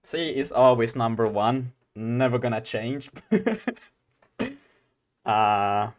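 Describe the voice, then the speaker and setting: Young guy rambling to his stream chat, laughs a lot, mid-pitched voice. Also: takes it slowly. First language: Swedish. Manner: giggly, rambling, talks to chat. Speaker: game dev streamer. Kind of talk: casual live-stream chat.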